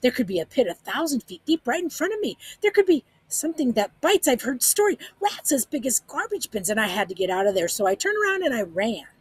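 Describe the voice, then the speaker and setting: A woman voicing a frightened, worried grown man who rambles very fast in a weak, trembly voice, hardly stopping for breath. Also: very high voice, very animated, husky, no room sound, voice pushed up, full character voice.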